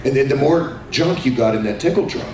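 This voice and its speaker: Animated man on a convention panel. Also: medium pitch, fairly quick, gravelly, a crowd in the background. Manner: animated, jokey, slips into character voices. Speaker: voice actor panelist. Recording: echoey hall, far from mic, noisy.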